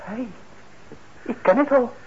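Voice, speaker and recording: An actor in a radio play as a shy, eager young working-class lad who stammers and hesitates. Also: male voice, extremely high pitch, unhurried. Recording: dull, noisy old radio recording.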